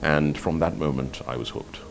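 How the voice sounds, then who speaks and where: Mature male author, extremely low voice, from Nigeria, measured interview talk, hesitant repetitions, faint music behind. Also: steady tone. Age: 50s or older.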